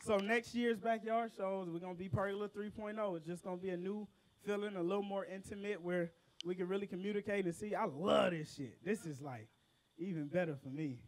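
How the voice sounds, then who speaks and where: High-voiced excitable man bantering onstage. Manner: loose, excitable and profane, with quick bursts. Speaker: rapper hosting his own backyard show. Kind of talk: casual banter with a live audience. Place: outdoor backyard concert.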